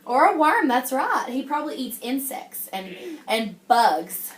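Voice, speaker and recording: Lady teacher doing a storytime for little kids, high voice, encouraging, lots of questions, roomy echo. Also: very animated, unhurried. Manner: encouraging, addresses the class as guys, frequent check-in questions. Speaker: teacher reading a picture book to young children. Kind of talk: interactive classroom read-aloud with questions and praise. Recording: echoey room.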